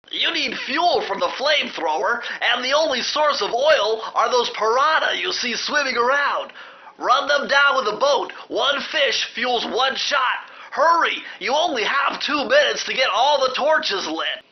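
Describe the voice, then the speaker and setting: A man's voice: extremely high pitch, lo-fi sound, exaggerated voice. A man voicing a nerdy, anxious, fussy turtle gadget expert in a cartoon video game, explaining things precisely.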